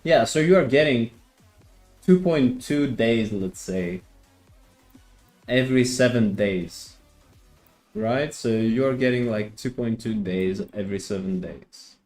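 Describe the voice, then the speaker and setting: A man's voice: medium pitch, takes it slowly, pauses often, darkish tone, soft background music. A man from Greece streaming a strategy game, chatting casually with viewers and often repeating his words as he thinks. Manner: hesitant, repeats words, answers chat. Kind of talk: casual live-stream chat.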